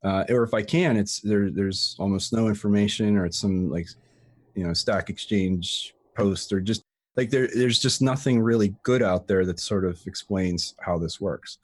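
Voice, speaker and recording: A man's voice: fairly low pitch, moderate pace. A man talking casually as an interview guest, rambling with frequent restarts and fillers. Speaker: guest, tutorial creator.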